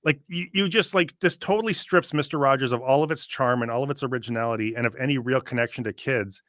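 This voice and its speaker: A man's voice: mid-range voice, fast, a bit bright, dry recording, studio quality. A man co-hosting a reaction show with casual, analytical commentary.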